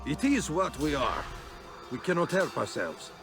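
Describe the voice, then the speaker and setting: A man's voice: on the high side, very animated, measured pace, music in the background. An actor playing a proud, worldly, cynical crusader king in a video game, with a grand declamatory manner. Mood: cynical, weary. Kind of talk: dramatic video game acting.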